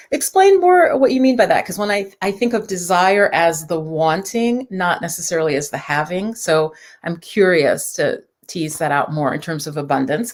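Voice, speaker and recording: Chatty woman hosting a livestream. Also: on the low side. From New York. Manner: chatty, reads viewer comments aloud; loose, with fillers and asides. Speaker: livestream host. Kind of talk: casual live-stream chat.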